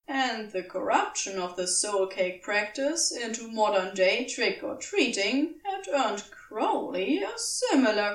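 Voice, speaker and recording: Expressive, high-voiced woman reading a story. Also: far from the mic, poor audio. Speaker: audiobook narrator. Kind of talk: scripted fiction narration.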